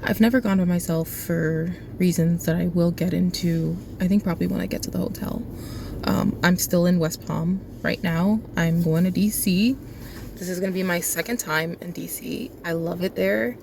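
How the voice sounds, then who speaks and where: A woman's voice: fairly low pitch, fairly even intonation, normal speed, creaky, darkish tone, a little hiss or hum. A woman in her twenties, sleep-deprived, talking casually to a phone camera as she vlogs from an airport terminal, rambling and chatty with lots of fillers. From South Florida. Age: 20s. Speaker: vlogger and night shift nurse. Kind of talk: casual vlog chat.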